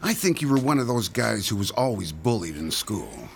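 An actor playing a menacing, sneering extortionist who taunts with mock friendliness in an audio drama. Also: male voice, on the low side, big pitch swings, moderate pace, gravelly, slight background noise. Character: menacing, sneering male extortionist thug, mocking bully. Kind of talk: modern audio drama acting.

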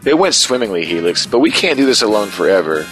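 A man plays a formal, polite sidekick in a podcast skit. His voice sits fairly low and delivers scripted lines over music. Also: very animated, quick pace, a bit bright, poor audio.

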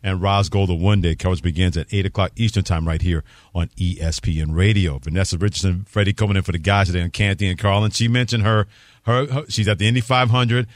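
A man's voice: low voice, fairly even intonation, fast talker, no room sound. A man hosting a sports talk show on the radio, introducing segments and chatting with guests.

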